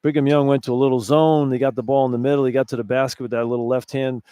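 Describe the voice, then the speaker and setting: A man's voice: mid-range voice, level tone, fast talker, mellow, clean tone. A man works as a color analyst on a sports radio broadcast. He talks conversationally in a mid-range voice, circling back over points to stress them, with a dry, echo-free sound.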